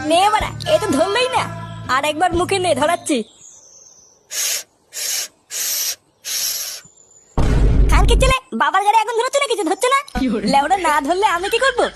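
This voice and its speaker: A woman's voice: very high-pitched, expressive, fast, music in the background. A woman voicing a cheeky, brash, foul-mouthed young boy in a crude comedy cartoon. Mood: brash, surprised.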